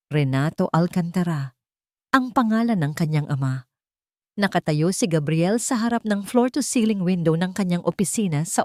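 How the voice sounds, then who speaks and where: A woman narrating a scripted dramatic story in deliberate, suspenseful short phrases. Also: fairly low pitch, a little mellow, no room sound, clean studio sound.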